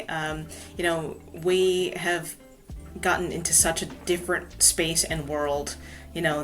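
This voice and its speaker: Low-voiced chatty woman guest. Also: fairly bright tone. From Hawaii. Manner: chatty, many "you know" fillers. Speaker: guest author. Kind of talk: casual podcast interview.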